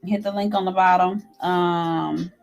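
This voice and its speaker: A woman co-hosting a casual live-stream talk, gentle and encouraging with many fillers. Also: fairly low pitch, steady tone, a little slow, vocal fry, dull audio. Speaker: co-host.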